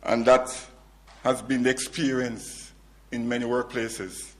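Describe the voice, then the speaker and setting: A man's voice: medium pitch, flat delivery, a little slow. A man with a Jamaican accent making earnest, formal remarks at a public event. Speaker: college president.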